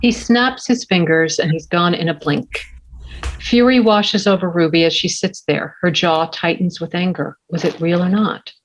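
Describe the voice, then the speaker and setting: A woman reading stage directions aloud at a table read. Her voice is slightly low, steady and plain. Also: normal speed, rough recording.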